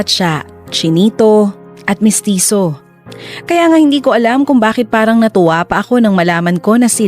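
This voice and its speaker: A woman's voice: mid-range voice, close to an everyday voice. A woman narrating a confessional love story in the first person for a radio drama, in a reflective manner.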